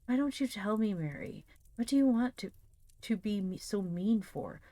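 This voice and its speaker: A woman voicing a flustered schoolboy in a storybook, halting and stammering as he fumbles through his recitation. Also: medium pitch, expressive, dark tone, dry recording, voice pushed up, lightly acted. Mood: petulant. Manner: halting, stammering, groping for words. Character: boy fumbling through memorized Bible verses, flustered, petulant. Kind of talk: dramatized storybook reading.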